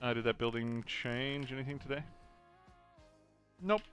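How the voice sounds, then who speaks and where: A young man giving chatty let's-play commentary, thinking aloud with excitable bursts. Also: medium pitch, measured pace, over music. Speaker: gaming channel host. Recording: noisy home recording.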